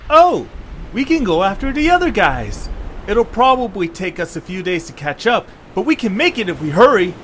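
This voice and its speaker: A man playing an excitable, earnest young adventurer leading a stranded group in an audio drama. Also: high-pitched, normal speed. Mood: eager. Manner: exclamatory, animated, earnest. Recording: clear background hiss and noise.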